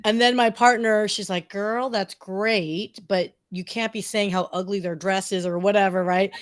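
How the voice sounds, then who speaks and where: A woman's voice: mid-range voice, fairly even intonation, normal speed, dry recording, studio quality. A woman podcast guest talking casually and effusively, informal and self-deprecating, wandering off on tangents. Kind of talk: casual, chatty interview answers.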